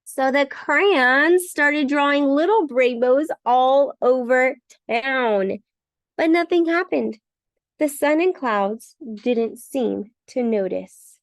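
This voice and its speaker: A woman reading a bedtime story for children in an expressive, emphatic way. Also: high-pitched, slow pace, no echo. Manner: expressive, emphatic with repeated words. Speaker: storybook reader.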